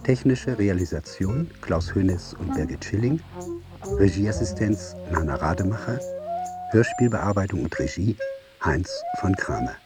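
A man's voice: low-pitched, expressive, measured pace. A man reading scripted credits as a radio play announcer. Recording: prominent music under the voice.

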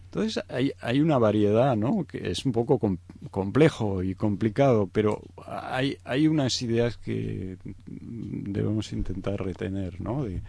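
A man's voice: on the low side, measured pace, creaky, dark tone, husky, dry recording. A middle-aged man from Egypt explaining things in an unscripted interview, hesitant, searching for words and often adding tag questions. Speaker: interview guest.

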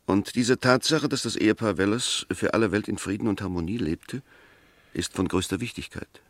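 A male actor plays a firm English defence lawyer in a 1960s German radio play. The voice is on the low side and recorded dry and close to studio silence. He reasons formally and methodically, pressing his points with emphasis. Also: normal speed, dry recording, lightly acted. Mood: firm, emphatic.

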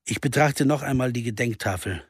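An actor playing a brooding, driven jazz pianist turned amateur sleuth in a German radio drama. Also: male voice, medium pitch, fairly quick, slightly rough, no room sound, studio quality, natural voice. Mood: reflective. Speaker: lead actor and narrator. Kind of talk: German radio drama acting with first-person narration.

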